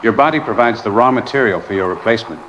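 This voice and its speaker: An actor playing an exhausted, haunted research doctor, grimly confessing in clipped sentences into a personal log for an audio drama. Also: male voice, lowish voice, lively intonation, fast talker, a bit bright. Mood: grim, clinical. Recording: dull, clearly noisy log recording.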